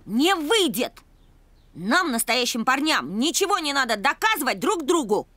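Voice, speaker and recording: A woman's voice: high voice, big pitch swings, normal speed, sharp tone, a little hiss or hum, full character voice. A woman voicing a boastful, sporty young boy dog in a cartoon, swaggering but whiny when challenged. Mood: defensive, cocky. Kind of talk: animated cartoon acting.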